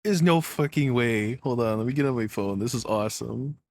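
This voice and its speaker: A man's voice: medium pitch, a little fast, no echo, studio quality. A young adult man doing casual reaction commentary as a content creator, rambling, sarcastic and freely swearing.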